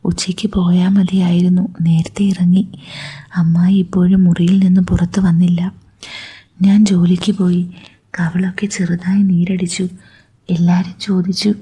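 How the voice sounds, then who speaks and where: A woman's voice: fairly low pitch, little pitch movement, measured pace, mellow. A woman narrating a first-person story entirely in a whisper. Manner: breathy whisper throughout.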